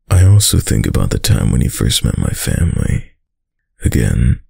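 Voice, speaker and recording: A man playing a dominant, doting young mafia heir in an intimate boyfriend roleplay, with a very deep, gravelly voice and a slow, tough-guy delivery. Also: mellow, no room sound, studio quality, exaggerated voice. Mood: fond reminiscing. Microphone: close, dry microphone.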